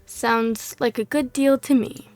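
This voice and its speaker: A woman's voice: on the high side, steady tone, slow, a little mellow, faint music behind. A woman reading the lines of a female monster hunter adventurer in her own plain voice, slightly losing her voice. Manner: reads dialogue aloud in her own plain voice.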